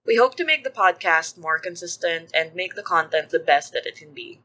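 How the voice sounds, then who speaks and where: A woman's voice: fairly low pitch, lively intonation, normal speed, pushed and bright. A woman podcast producer reading scripted credits and announcements to listeners.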